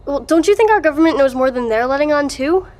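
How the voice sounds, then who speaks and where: A woman's voice: sits high, lively intonation, fast, a little mellow. A woman playing a skeptical, sardonic, insistent character in an audio drama, with a blunt, wry delivery. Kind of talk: audio drama acting, conversational.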